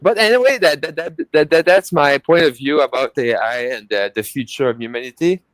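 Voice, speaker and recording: A man at home on a group call, chatting excitedly and rambling with halting restarts. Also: fairly high pitch, no echo. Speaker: call participant. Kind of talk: casual group chat.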